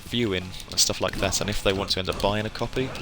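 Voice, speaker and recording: A man's voice: on the low side, fairly even intonation, fast talker. A British man chatting casually to viewers on a live stream, rambling and hesitant, often trailing off. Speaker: hobby game streamer. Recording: music playing behind the voice. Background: music.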